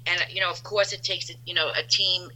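Bright-voiced woman in her fifties, rambling. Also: on the low side, steady tone, fairly quick, rough recording. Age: 50s. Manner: rambling, upbeat, many restarts. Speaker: podcast guest. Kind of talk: casual chat.

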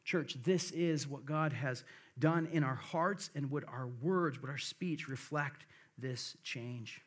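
A middle-aged man from the American Midwest with a Midwestern accent slightly softened by the South, preaching a sermon, reading scripture in an earnest way and tossing in "right?" to the congregation. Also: fairly high pitch, slow pace, breathy edge. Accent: Midwestern, a little softened by the South. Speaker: pastor.

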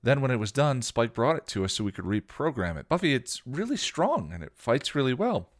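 A man's voice: medium pitch, very animated, brisk, pitched above the performer's own voice. A man voicing an eager young woman who explains everything in a rush, in a read-aloud story.

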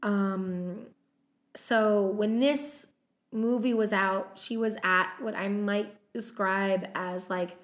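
This is a woman co-hosting a casual movie podcast, working her way through the cast list. She talks at a leisurely, drawn-out pace and leans on "um" fillers as she checks her notes. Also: medium pitch, fairly even intonation. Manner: unhurried, many "um" fillers, running through notes. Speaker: podcast co-host. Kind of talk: casual chat about a film's cast.

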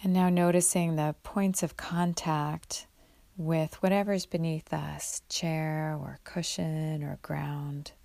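A woman guiding a mindfulness meditation in a gentle, unhurried way, leaving long pauses between instructions. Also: low voice, flat delivery, vocal fry, dry recording. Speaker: guided meditation leader.

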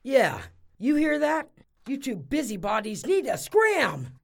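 A woman's voice: sits high, huge pitch swings, slow, vocal fry, full character voice. A woman playing a sassy, no-nonsense small-town snack seller in a comic audio drama, blunt and teasing with a Southern US accent. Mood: indignant.

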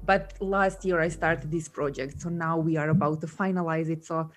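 Guest, woman, 30s; low-ish warm chat, filler-heavy. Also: steady tone, moderate pace, faint music behind. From Serbia. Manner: chatty, laughs often, many fillers. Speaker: guest, coach and entrepreneur. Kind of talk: casual conversational interview.

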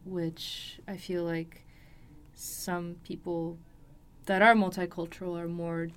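Young woman, low pitch, flat intonation, hesitant, casual interview storytelling. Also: takes it slowly, slight background noise. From Los Angeles, USA. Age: 20s. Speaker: interview guest. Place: recording studio.

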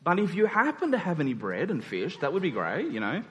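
A man's voice: on the high side, huge pitch swings, a little fast. A man preaching a conversational sermon in an informal way, acting out dialogue in everyday words. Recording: long hall reverb.

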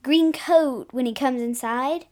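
A woman's voice: high voice, measured pace, a little mellow. A woman co-hosting a podcast, chatting casually, questioning and interjecting as she reacts. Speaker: podcast co-host. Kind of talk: casual chat, reacting to comics.

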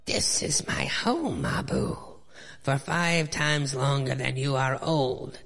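A man voicing an ancient, sinister old cave woman from a comic with a strained, grating, slow voice. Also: medium pitch, fairly bright tone, big-room sound. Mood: ominous. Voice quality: strained, grating, shards-of-glass rasp.

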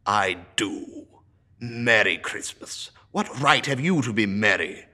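Man voicing a bitter old miser for a storybook, snapping, lively pitch. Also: slow, exaggerated voice. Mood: scornful. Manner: snapping, scornful. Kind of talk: storybook character reading.

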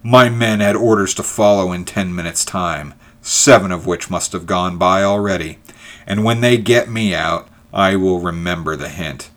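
A man's voice: sits low, normal speed, fairly bright tone. A man voicing a proud, indignant landowner who retorts fiercely to his enemy in a storybook reading. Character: proud, fierce landowner pinned down, defying a poacher.

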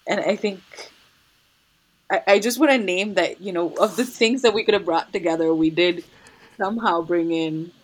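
A woman's voice: lowish voice, normal speed, poor audio. A woman in a casual conversation, thinking aloud with frequent restarts and light laughter.

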